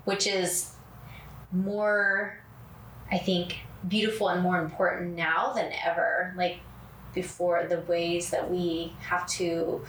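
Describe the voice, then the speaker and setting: A woman's voice: on the low side, slow pace. Soothing woman podcast host, roomy sound. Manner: soothing, even cadence with many fillers. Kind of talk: casual podcast conversation. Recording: roomy, distant mic, faint noise.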